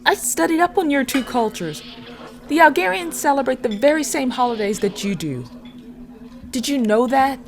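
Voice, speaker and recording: A woman's voice: high voice, animated, moderate pace. A woman playing a compassionate starship first officer in a sci-fi audio drama, earnest and persuasive. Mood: earnest pleading.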